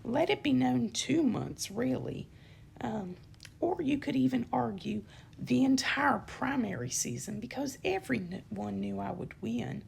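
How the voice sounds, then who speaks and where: A young woman with a dry throat gives an indignant, emphatic campaign statement, often pausing with ums and correcting herself. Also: a little high, very animated, gravelly, a little mellow, slight background noise. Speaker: channel host giving a campaign statement. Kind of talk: unscripted spoken statement to camera.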